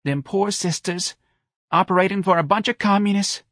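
A man's voice: fairly high pitch, lively intonation, normal speed, a bit bright, husky, dry recording, studio quality, voice pushed up, exaggerated voice. A man voicing a whiny, self-pitying older working-class mother in an audiobook, plaintive and folksy. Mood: interested, sad. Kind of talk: audiobook character dialogue.

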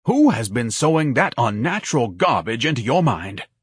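A pompous, melodramatic grown son from an audiobook, acted out by a man. He declaims haughtily in a husky voice that swings widely in pitch, dry and close. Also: big pitch swings, moderate pace, dry recording. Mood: outraged. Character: pompous, indignant, self-pitying grown son, grandiose and melodramatic. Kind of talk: audiobook character voice.

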